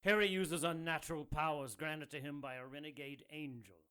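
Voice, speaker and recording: A cold self-righteous Vatican cleric. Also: male voice, a little high, fairly quick, smooth. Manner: calm, condescending, preachy.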